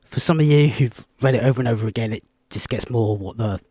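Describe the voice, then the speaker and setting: A quiet-voiced man giving a rambling, off-the-cuff Bible study video, with many ums and restarts. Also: mid-range voice, fast talker, husky, no echo. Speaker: Bible teacher.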